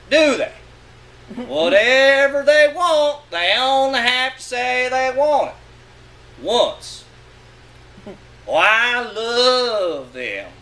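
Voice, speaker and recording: A man's voice: very high-pitched, sharp tone. A man giving a dramatic, sardonic and emphatic reading of a literary monologue. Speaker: reader of a short story.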